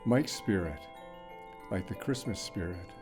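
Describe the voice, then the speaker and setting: A man reading a sentimental story aloud as scripted narration, in a measured, expressive storytelling manner. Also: low voice, music in the background. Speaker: story reader.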